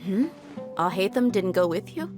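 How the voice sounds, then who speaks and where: A woman's voice: mid-range voice, lively intonation, normal speed, lightly acted. A woman playing a confident, worldly mercenary in a video game, casual and self-assured. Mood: thoughtful. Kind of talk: video game dub acting. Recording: music behind the voice.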